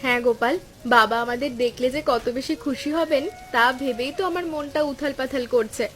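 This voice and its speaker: A woman voicing a caring young village wife in a cartoon story, speaking in an earnest, deliberate way. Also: sits high, soft background music, natural voice. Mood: eager. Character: caring young village wife and daughter, anxious but cheerful. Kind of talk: Bengali cartoon story dialogue.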